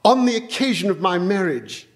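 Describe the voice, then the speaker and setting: An actor playing an earnest young country doctor who reads an old legend aloud with solemn, dramatic storytelling in a live radio play. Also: male voice, high voice, big pitch swings, moderate pace. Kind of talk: live stage radio-play acting, reading a tale aloud. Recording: live stage recording with music underscore.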